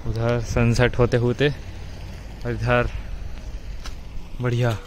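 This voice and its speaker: A man's voice: mid-range voice, monotone, very slow, a little mellow. A teenage boy vlogging outdoors on a phone held at arm's length, chatting casually to his viewers as he walks around. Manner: chatty, addressing viewers as guys. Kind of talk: casual vlog narration. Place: outdoors, streets and a stadium. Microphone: phone camera at arm's length. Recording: noisy outdoor phone recording.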